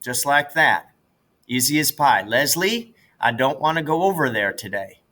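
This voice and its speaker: A folksy country boy out of a storybook, played by a man. He grumbles and teases in a bright, forceful voice pitched a bit above the reader's own. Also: animated, normal speed. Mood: anxious rehearsing. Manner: folksy, colloquial, exasperated. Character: grumbling, teasing country boy, anxious but fond.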